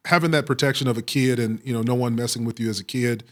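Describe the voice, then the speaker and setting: Halting, reflective middle-aged American man. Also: medium pitch, fairly even intonation, a bit bright. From Waco, Texas, United States. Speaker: police chief, interview guest. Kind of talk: conversational interview answers, personal storytelling.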